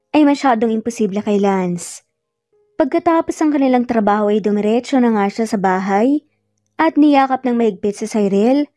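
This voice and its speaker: A woman's voice: fairly high pitch, no echo, studio quality. A woman storyteller reading a scripted romance drama in a narrator's dramatic style. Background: soft background music.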